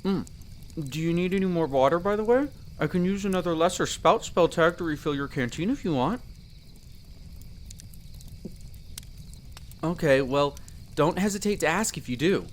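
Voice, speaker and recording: A man's voice: on the high side, a little fast, slight background noise, natural voice. A man playing a friendly, chatty elf explorer in a roleplay audio drama, explaining things in an easygoing, conversational way to the listener. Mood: relaxed, content. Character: friendly chatty male elf explorer, eager magic mentor.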